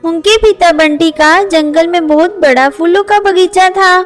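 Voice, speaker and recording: A woman's voice: extremely high pitch, unhurried. A woman narrating a scripted children's story. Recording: faint music under voice. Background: faint music.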